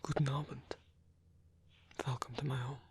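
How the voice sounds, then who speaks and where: A man playing a lonely, courteous old vampire in an intimate ASMR roleplay, speaking in a breathy, hesitant, softly formal way. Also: medium pitch, flat delivery, moderate pace. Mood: shy, apologetic. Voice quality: breathy, husky, gravelly whisper.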